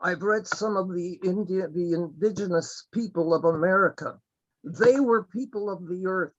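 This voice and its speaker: A man speaking earnestly on a video call, halting and restarting as he makes his case. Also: sits high. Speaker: webinar participant. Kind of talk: impassioned remarks on a video call. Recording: dull lo-fi call audio.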